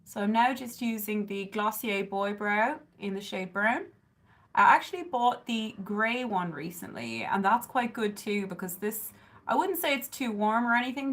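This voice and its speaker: A woman's voice: medium pitch, fairly bright tone. An Irish woman in her thirties talks through her makeup on a live stream from her bedroom, close to the camera. She rambles easily with plenty of "like" and "um" and chats with her viewers as she goes. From southern Ireland. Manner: chatty and rambling, with frequent "like" and "um"; coos at her cat. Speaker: beauty livestreamer. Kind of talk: casual chat while doing makeup, reading viewer comments. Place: bedroom at home, indoors. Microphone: webcam or phone camera at close range.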